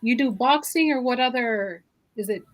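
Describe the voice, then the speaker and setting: A woman with a higher voice hosts a live-stream interview. She talks in a friendly, chatty way and fills pauses often. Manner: friendly, chatty with many fillers. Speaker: host.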